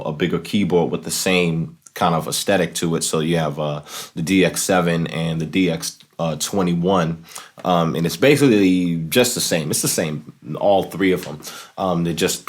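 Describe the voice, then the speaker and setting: A man in his thirties hosting a casual instructional show, talking to camera in a halting way as he searches for words. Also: low-pitched, slightly rough. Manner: halting, searching for words, often saying "you know". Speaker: host of a keyboard and talk box tutorial show. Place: small plain indoor room, home studio setup.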